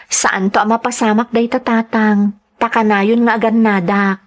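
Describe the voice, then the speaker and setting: A woman's voice: fairly high pitch, level tone, moderate pace, natural voice. A young woman playing an earnest daughter who tells her life story in an Ilocano radio-style drama, in a conversational manner. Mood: reassuring.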